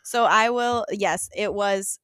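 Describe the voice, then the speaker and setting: A woman's voice: mid-range voice, slow, a bit bright, smooth, no echo, studio quality. A young adult woman co-hosting a casual podcast chat, laughing often as she talks. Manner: giggly, chatty, laughs often. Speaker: podcast co-host.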